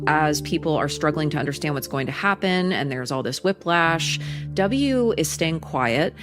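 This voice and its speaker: A woman reporter giving a news story in a measured, deliberate way. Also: low-pitched.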